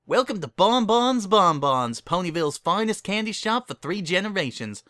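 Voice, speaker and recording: Man doing a high, folksy lady pony shopkeeper. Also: sing-song, normal speed, sharp tone, no echo, studio quality, exaggerated voice. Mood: welcoming. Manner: folksy, eager, easily flustered. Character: friendly, flustered female pony candy shopkeeper.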